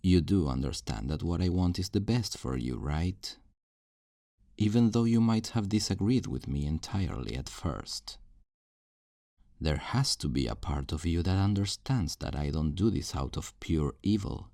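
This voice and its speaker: A man's voice: low-pitched, expressive, a little slow, vocal fry, warm tone, no echo, studio quality, full character voice. A man playing a possessive, self-righteous kidnapper in an ASMR roleplay, speaking in a condescending, coaxing, menacingly tender way. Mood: smug, coaxing. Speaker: audio roleplay performer.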